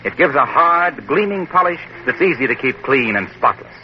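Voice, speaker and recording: A man's voice: a little high, lively intonation, moderate pace. A male announcer reading an upbeat commercial and introduction in a 1940s radio show. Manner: upbeat, selling. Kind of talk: 1940s radio ad read and narration. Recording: dull, hissy old radio recording.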